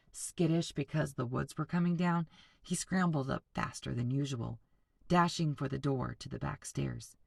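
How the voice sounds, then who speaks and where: A woman teacher reading a novel aloud to students, pausing to explain and ask them to picture things. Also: low voice, creaky, dry recording. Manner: patient, explanatory, addresses students directly. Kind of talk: classroom read-aloud with discussion breaks.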